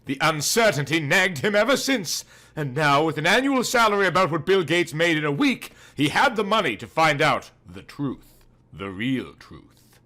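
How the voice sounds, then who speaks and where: A man's voice: a little high, very animated, normal speed, bright, forceful tone. A man reading a comic detective story as scripted audiobook narration, with a dramatic, mock-serious delivery.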